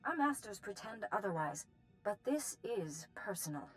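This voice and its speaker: A woman's voice: medium pitch, big pitch swings, moderate pace, close to an everyday voice, rough recording. Woman acting a direct, defiant young Jedi padawan. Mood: urgent candour. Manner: direct, earnest. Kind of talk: scripted video game acting. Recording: music behind the voice.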